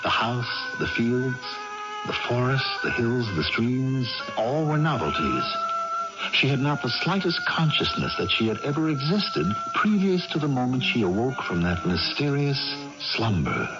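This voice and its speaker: An actor playing a grave storyteller in a 1980s radio drama, narrating in a measured, literary manner. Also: male voice, medium pitch, big pitch swings. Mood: solemn wonder. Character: grave storyteller recounting a strange family tale. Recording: muffled old radio broadcast with music.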